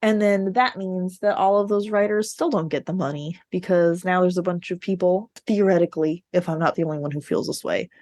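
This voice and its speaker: A woman chatting casually as a podcast co-host, telling things in an animated, digressive way. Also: fairly low pitch, fairly quick, few pauses, dry recording. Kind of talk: casual book discussion chat.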